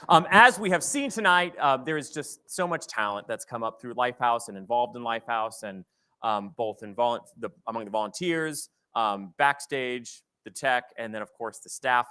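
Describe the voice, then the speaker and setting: A man hosting a live theater event, speaking off the cuff in halting phrases. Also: medium pitch, sharp tone, clean tone. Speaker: event host. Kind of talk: live stage hosting, unscripted.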